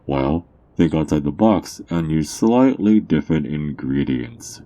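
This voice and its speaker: Very deep, dark-voiced sly drug dealer played by a man. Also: animated, exaggerated voice. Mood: sly, boastful. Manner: teasing, theatrical, smug, with drawn-out words. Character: sly, mocking underground drug dealer peddling vampire-blood drug. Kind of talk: ASMR character roleplay monologue.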